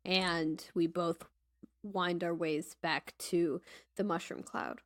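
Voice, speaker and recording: A woman's voice: on the low side, steady tone, slow pace. A woman playing a tabletop role-playing game, describing what her character does. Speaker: tabletop game player, podcast host.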